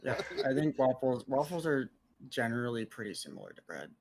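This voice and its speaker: A man from the American South chats casually in a group Q&A. He jokes and teases the others with a brash, playful edge. His voice sits at an ordinary pitch. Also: very animated, a little fast, vocal fry, a little mellow, slight background noise. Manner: joking, teasing, playfully brash.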